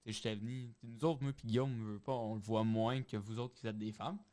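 A man's voice: lowish voice, fairly even intonation, fairly quick, warm tone. Informal young man with a Quebec accent. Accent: Quebec French. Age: young adult. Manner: informal, questioning. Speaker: podcast panelist. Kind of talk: casual group discussion. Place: small podcast studio. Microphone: close studio microphone on boom arm.

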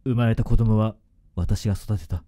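A man's voice: fairly low pitch, steady tone, moderate pace, dark tone, no room sound, clean studio sound, natural voice. An actor playing a grave, apologetic middle-aged father full of remorse in a radio drama. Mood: grave, remorseful. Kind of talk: Japanese radio drama acting.

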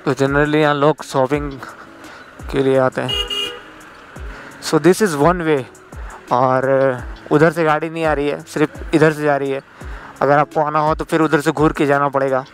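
A man's voice: a little high, fairly even intonation, a little slow, pauses often, poor audio. A young man casually guiding viewers through a busy night market, chatting and pointing out directions as he goes. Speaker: travel vlogger. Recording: background music over loud crowd. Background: crowd noise with music.

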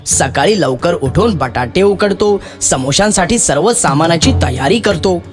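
A man's voice: fairly high pitch, lively intonation, a little slow, music in the background, pitched above the performer's own voice. A man playing an earnest, hardworking young brother in a children's moral story, speaking emphatically. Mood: protesting.

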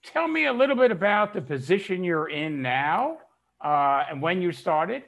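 An older man hosting an interview over a video call, asking measured questions as a publisher. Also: sits high, big pitch swings, creaky, fairly bright tone. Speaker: interviewer, trade news publisher. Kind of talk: scripted introduction and interview questions. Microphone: wired earbuds headset. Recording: slightly dull video call audio.

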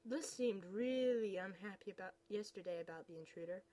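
A woman's voice: mid-range voice, a little fast, warm tone. A young woman reading her own comic aloud, playfully switching voices between the characters. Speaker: comic reader.

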